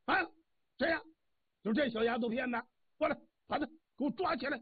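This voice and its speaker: A man voicing a boastful, quick-tempered old orchard god who barks orders. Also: sits high, big pitch swings, husky, no echo, exaggerated voice. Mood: angry. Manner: swaggering, barking orders. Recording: tinny phone-like dry sound.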